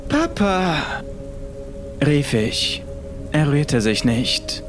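A man's voice: mid-range voice, fairly even intonation, normal speed. A man reading a scripted horror story as the narrator of an audiobook. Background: music.

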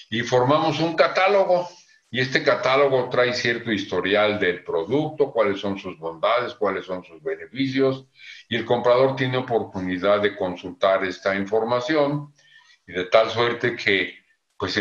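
Older man, Mexican Spanish accent, low voice, echoey room, measured explanations. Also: a bit bright. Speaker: convention manager. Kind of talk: interview on a video call. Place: home office. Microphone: video call. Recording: echoey room, dull lo-fi sound.

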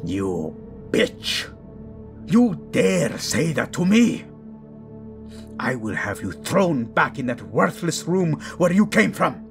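A man playing a cruel, contemptuous old king in a fantasy audio drama, imperious and swinging from hiss to shout. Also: a little high, very animated, slow pace, vocal fry, faint music behind, pitched above the performer's own voice, heavily acted. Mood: scornful, furious.

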